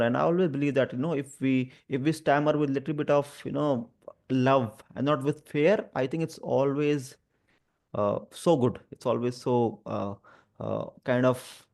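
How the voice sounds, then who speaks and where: A man with an Indian accent and a stammer, speaking haltingly and earnestly as an advocate sharing personal experience. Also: medium pitch, a little mellow. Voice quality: stammer, with repeated sounds and blocks. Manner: hesitant, halting, earnest phrasing. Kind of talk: personal talk about lived experience, conversational.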